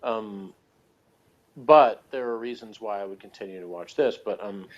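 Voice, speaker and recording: Man, lowish voice, casual podcast chat, hesitant, rambling, self-correcting, dull lo-fi sound. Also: normal speed. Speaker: podcast co-host reviewing TV shows. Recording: dull lo-fi audio.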